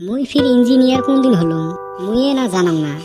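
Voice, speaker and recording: A man's voice: extremely high pitch, animated, fast talker, over loud music. A man voicing a foolish, cheeky young village bachelor in cartoon comedy, bickering colloquially in a Rangpuri Bengali accent. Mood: indignant, whispered asides. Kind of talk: broad cartoon comedy acting. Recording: noisy amateur cartoon voice track.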